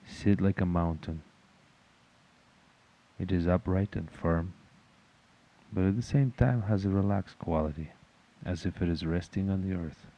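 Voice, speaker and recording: A man leading a guided meditation in a calm, measured, instructional manner. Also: low-pitched, highs cut off.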